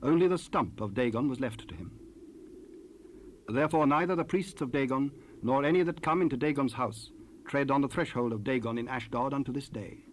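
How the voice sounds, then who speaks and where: Medium-pitched male scripture narrator, faint tape noise. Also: brisk. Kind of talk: dramatized scripture narration, archaic English. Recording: noisy raw cassette rip.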